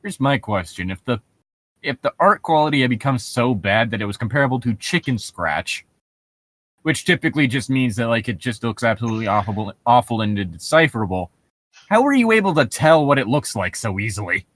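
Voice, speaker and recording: A man's voice: medium pitch, very animated, a little fast, fairly bright tone, dry recording. A man co-hosting a show, reading stories aloud and breaking off for wry, nitpicking comments in a casual way. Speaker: podcast co-host reading and mocking internet horror stories.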